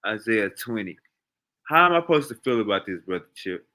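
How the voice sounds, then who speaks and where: Bright-voiced man pressing hard questions. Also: lowish voice, sing-song, fairly quick. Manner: challenging, rhetorical questions. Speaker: host. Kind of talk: casual debate about scripture.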